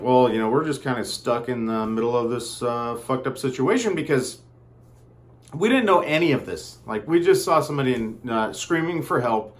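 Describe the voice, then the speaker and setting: A man telling a personal story casually, in a rambling, halting way with frequent asides. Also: mid-range voice.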